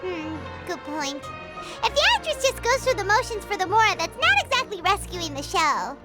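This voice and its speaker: A woman voicing a small, perky, childlike fairy companion in a video game, squeaky, bubbly and cheeky. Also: big pitch swings, normal speed, loud music behind the voice. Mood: thoughtful, confident. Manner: bubbly, refers to herself by name.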